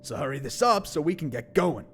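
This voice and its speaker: Cocky teen creature played by a man, hot-tempered, brash, higher-set voice with lively swings, audio drama. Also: a little fast, slight background noise. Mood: snappy. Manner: brash, sarcastic, swearing. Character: cocky, hot-tempered teenage boy, a clawed furry animal.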